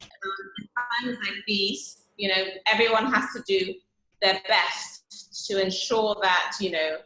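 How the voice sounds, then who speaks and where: Bright-voiced woman hosting an event. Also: mid-range voice, a little slow, roomy sound, soft background music, low-quality recording. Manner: frequent "you know" fillers. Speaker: event host. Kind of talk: welcome speech.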